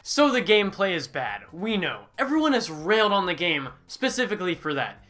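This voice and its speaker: A game reviewer gives a sarcastic, mocking voice-over that often jumps suddenly into loud, high outbursts. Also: sing-song, fairly quick, a little hiss or hum.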